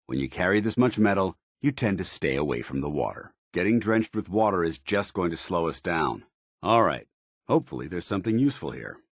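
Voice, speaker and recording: Video game soldier: stern, disciplined, formal, low-pitched and husky, with varied intonation. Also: male voice, big pitch swings, brisk, dry recording, studio quality, exaggerated voice. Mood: businesslike. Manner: formal, military, clipped. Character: stern, disciplined soldier in power armor, middle-aged man. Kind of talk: scripted video game dialogue.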